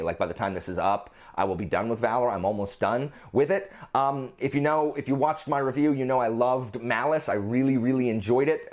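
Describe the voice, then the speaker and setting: A man talking casually as a book vlogger, gushing and emphatic, often repeating phrases to drive a point home. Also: medium pitch. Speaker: book reviewer and channel host. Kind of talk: casual chatty book vlog.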